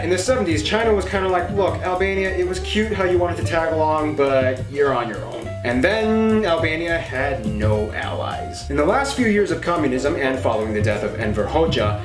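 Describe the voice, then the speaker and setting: A man presenting scripted educational narration in a playful, informal way with dramatized asides. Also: sits high. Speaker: educational geography show presenter. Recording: roomy echo, music underneath.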